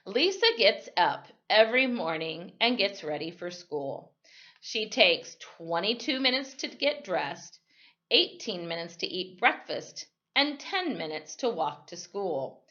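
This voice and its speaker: A woman's voice: medium pitch, big pitch swings, sharp tone. Woman reading as a formal quiz show host, muffled. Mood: formal, deliberate. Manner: slow, deliberate announcing.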